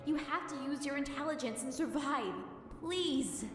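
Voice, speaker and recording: Woman voicing gentle young pony princess, earnest, pleading, highish voice, music behind, cartoon audio drama. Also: normal speed, lightly acted. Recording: echoey hall, distant from mic. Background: prominent music.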